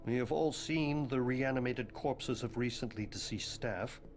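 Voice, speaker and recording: Game role: morbid, bookish professor played by a man, middle-register voice, stiffly formal and deadpan. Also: medium pitch, measured pace, faint music behind, natural voice. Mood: morbid, matter-of-fact. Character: pompous, morbid, bookish male occult professor, deadpan and eccentric. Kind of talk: video game cutscene acting.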